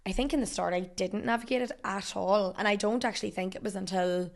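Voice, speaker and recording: A young Northern Irish woman with a slightly hoarse voice chats easily as a guest in a studio. She tells her stories casually and laughs as she goes, at an everyday middle pitch. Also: fairly even intonation, a little fast. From Northern Ireland. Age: 20s. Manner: chatty, laughing storyteller. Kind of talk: casual chat.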